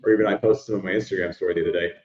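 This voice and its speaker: A young man and musician, a baritone, chatting casually in an interview and rambling with many fillers. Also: fairly even intonation, rapid-fire, darkish tone. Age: young adult. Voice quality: baritone speaking voice. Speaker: musician guest. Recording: echoey, dull lo-fi room sound.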